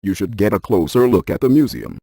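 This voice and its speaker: Video game paranormal scientist: cerebral male, deadpan and exact, very mellow dark tone, close dry sound. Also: on the low side, a little fast, slightly rough, no room sound. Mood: methodical, serious. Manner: deadpan, precise, technical. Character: cerebral, methodical paranormal scientist, adult man. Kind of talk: scripted video game dialogue.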